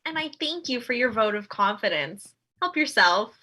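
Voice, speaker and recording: A woman's voice: fairly high pitch, lively intonation, normal speed, pushed and bright. A woman playing an eager-to-please, upbeat co-op worker in a comedy table read, obliging in manner. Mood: chirpy.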